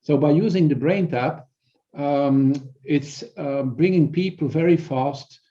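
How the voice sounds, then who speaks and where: Male coach as interview guest, middle-aged, Belgian accent, slightly high, dull band-limited sound, halting explanatory delivery. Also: dark tone. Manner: halting, repeats words.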